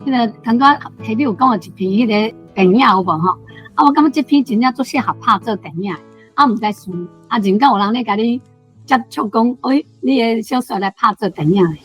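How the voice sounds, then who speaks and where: A woman's voice: on the high side, brisk, poor audio. A woman with a Taiwanese accent, casually and tentatively asking a guest author a question. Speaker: questioner in a book discussion. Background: plucked guitar music.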